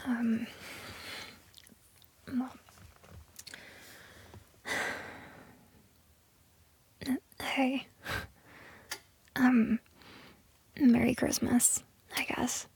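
A woman acting a flustered, awkward young lover in an intimate audio roleplay, with a breathy, hushed voice and halting, stammering delivery. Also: a little high, flat delivery, slight background noise, full character voice. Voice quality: breathy, whispery.

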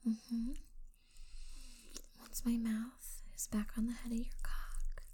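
A young adult woman whispering an intimate roleplay, teasing and commanding, with soft hums between phrases. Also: on the high side, flat delivery, slow.

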